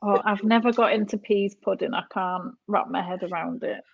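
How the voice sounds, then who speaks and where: A woman's voice: mid-range voice, steady tone, normal speed, vocal fry, muffled recording. A woman from North West England chatting casually as a guest on a podcast. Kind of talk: casual interview chat.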